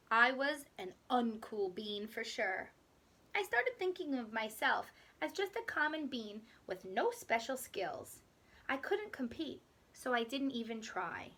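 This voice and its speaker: A woman with a high, bright voice reads a picture book to children. She takes her time like a teacher, telling the story expressively and leaving dramatic pauses. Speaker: teacher reading aloud.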